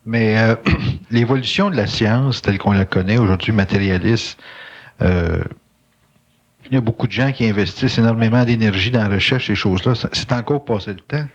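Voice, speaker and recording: A man's voice: on the low side, moderate pace. A man asking probing questions, often hesitating and restarting. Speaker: interviewer. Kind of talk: interview questions. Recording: dull old cassette tape.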